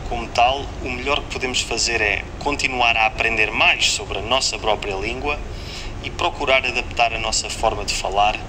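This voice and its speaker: A man with a European Portuguese accent explains grammar points in steady, scripted narration, like a language teacher. His voice sits a bit low for a man. A clear background hum runs under this played-back clip. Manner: measured, explanatory.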